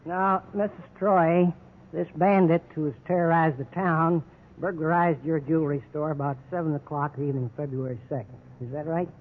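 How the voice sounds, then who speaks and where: An actor playing a gruff, hard-nosed police officer who browbeats people in a 1950s radio drama. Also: male voice, a little high, steady tone, moderate pace, close to an everyday voice. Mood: pressing. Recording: tinny, noisy 1950s radio recording.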